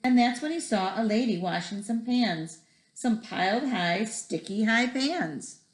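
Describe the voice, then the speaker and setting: A woman's voice: fairly high pitch, lively intonation, a little slow. A woman reading a children's picture book aloud expressively, with a rhythmic delivery that draws out repeated words. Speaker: read-aloud storyteller. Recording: echoey room.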